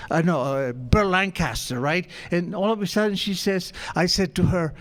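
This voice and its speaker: High-voiced older man with Argentine accent. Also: moderate pace, a bit bright. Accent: Argentine Spanish. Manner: self-mocking, humorous, conversational. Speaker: recovery meeting speaker. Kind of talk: storytelling talk to an audience.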